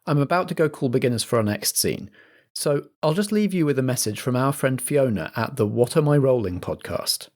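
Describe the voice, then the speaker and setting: A man's voice: medium pitch, expressive, fairly quick, a little mellow. Man as chatty podcast compere. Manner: chatty, theatre-compere patter. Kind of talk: friendly programme announcements read to listeners.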